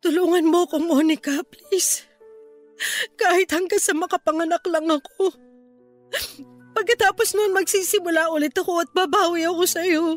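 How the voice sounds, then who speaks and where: A distraught Filipino older sister in a radio drama. Her voice is very high, tearful and pleading, heavy with regret, over soft music. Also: steady tone, normal speed.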